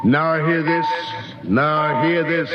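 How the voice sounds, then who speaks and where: A man's voice: on the high side, slow pace. An actor playing a male crewman on a rocket ship who calls out readings in a clipped, formal way. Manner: clipped, formal call-outs. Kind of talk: 1950s radio drama acting. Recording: dull lo-fi radio recording with loud noise.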